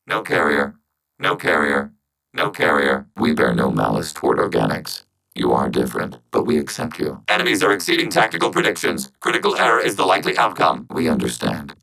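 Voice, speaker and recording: A super deep gravelly robot soldier from a video game, calm n logical, clipped formal lines, synthetic processing. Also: male voice, heavily acted, rough recording. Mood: terse, alert. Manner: clipped, flat, formal, machine-like phrasing. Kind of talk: video game character voice acting.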